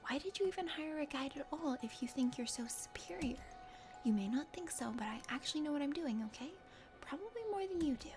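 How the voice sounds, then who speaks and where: A woman's voice: high voice, expressive, brisk. A woman playing a stubborn young female mountain guide in a game, exasperated and plain-spoken as she scolds. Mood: indignant. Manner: indignant, scolding. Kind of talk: dramatic game character reading. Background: music.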